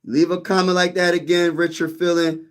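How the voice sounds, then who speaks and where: A man's voice: high voice, flat delivery, normal speed. Rambling casual man saying "bro". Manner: rambling, repeats phrases, says "bro" a lot. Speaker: YouTube sports channel host. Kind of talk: casual live-stream chat.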